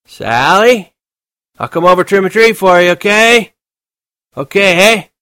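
A man voicing a very drunk teenage boy shouting and pleading into a phone. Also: high voice, expressive, a little slow, clean tone, no echo, studio quality, pitched above the performer's own voice, heavily acted. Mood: drunk yelling.

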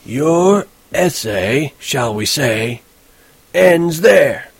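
A man reading an audiobook, voicing a sarcastic old male history teacher who speaks slowly and deliberately. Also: high-pitched, animated, husky, dry recording, voice pushed up, exaggerated voice. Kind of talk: audiobook character voice.

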